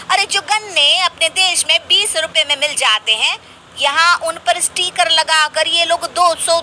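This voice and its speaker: A woman's voice: sits high, sharp tone, slight background noise, full character voice, low-quality recording. A woman playing a pushy, ambitious young wife in a cartoon, with a nagging, emphatic manner.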